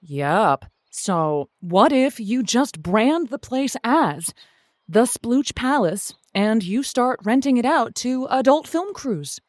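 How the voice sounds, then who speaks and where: A woman playing a dryly amused advice-show host, chatting with callers in a hesitant, deadpan way on a comedy show. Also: a little high, takes it slowly, no echo, studio quality. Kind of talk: improvised comedy call-in chat.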